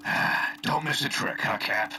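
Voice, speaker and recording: An actor playing a weathered military spymaster absorbed into a hive mind, talking in a folksy, clipped, coaxing way in a game cutscene. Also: male voice, on the low side, a little slow, sharp tone, slight background noise. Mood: smug, persuasive. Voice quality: gravelly. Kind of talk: video game cutscene acting.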